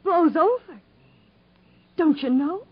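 A woman's voice: very high voice, lively intonation, slow, no echo, full character voice. An actress playing a devoted, earnest sweetheart of a man on the run in a 1950s radio drama. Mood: urgent. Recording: dull, muffled old radio recording.

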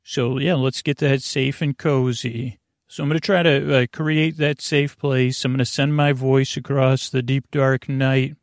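Creaky-voiced man rambling soothingly. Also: mid-range voice, level tone, no echo, clean studio sound. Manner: meandering, halting, trailing off into tangents. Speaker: podcast host. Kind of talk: rambling, soothing bedtime monologue.

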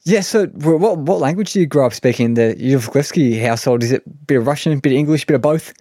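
A man's voice: medium pitch, fast, mellow, dry recording, clean studio sound. A man co-hosting a podcast, asking questions in a chatty, joking way. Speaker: podcast co-host. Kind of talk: casual podcast interview.

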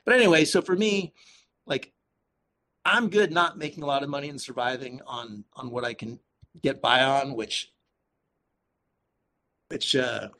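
A man speaking casually and earnestly as an interview guest, thinking aloud in a halting way. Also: medium pitch, pushed and bright. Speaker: podcast guest, activist and author. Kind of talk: casual conversational interview answers.

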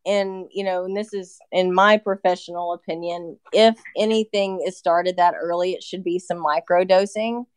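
A woman in her late thirties talks through treatments as a podcast guest, filling her sentences with "you know" and "like". Her voice is smooth and clear, recorded close and dry with no room sound. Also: fairly low pitch, steady tone, normal speed, few pauses, dry recording. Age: 30s. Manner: chatty, explains with many fillers and "you know". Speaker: nurse practitioner guest. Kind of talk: casual podcast interview.

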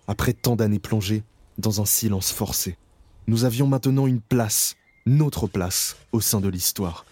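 A man playing a grown survivor who narrates his memories in a slow, reflective, somber way. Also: fairly low pitch, a little mellow, no echo, natural voice. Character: grown male camp survivor recalling his past. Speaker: first-person narrator. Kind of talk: scripted radio drama narration.

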